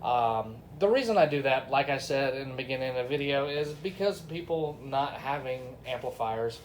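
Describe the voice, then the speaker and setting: A man's voice: medium pitch, animated, normal speed, slight background noise, low-quality recording. A man giving a casual, unscripted product review, talking informally to his viewers. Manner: informal, addresses viewers as y'all and guys.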